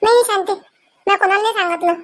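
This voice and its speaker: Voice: very high voice, fast, a bit bright. A performer voicing a flustered, evasive village husband in a comedy cartoon, with a Varhadi Marathi accent, hurriedly repeating words. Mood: defensive. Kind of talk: exaggerated cartoon comedy dialogue.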